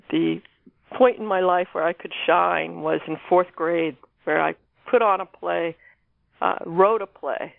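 A woman's voice: on the low side, creaky, dry recording. A woman author being interviewed, talking casually about her own work. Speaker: guest author discussing own novel. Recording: narrow phone-like line.

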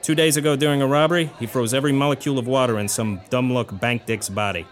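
A man's voice: mid-range voice, steady tone, fast talker, lightly acted. A man playing a streetwise lawman and war veteran in a pulp audio drama, speaking in a dry, matter-of-fact way. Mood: dry, businesslike. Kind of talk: 1930s-set pulp audio drama acting.

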